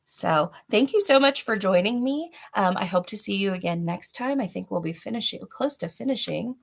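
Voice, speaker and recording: A woman's voice: mid-range voice, expressive, moderate pace, creaky. A woman narrating a children's story aloud as a reader, with chatty asides to her young listeners.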